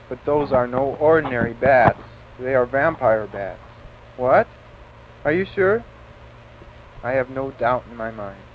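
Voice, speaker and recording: A man reading narration and dialogue from a scripted amateur horror drama. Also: mid-range voice. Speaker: narrator and reader of parts. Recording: thin phone-like sound, faint background noise.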